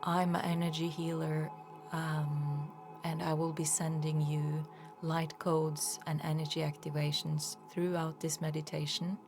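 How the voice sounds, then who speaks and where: A woman leading a guided meditation as an energy healer, speaking in a soft, soothing, unhurried way with breathy phrase endings. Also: low-pitched, flat delivery, over music.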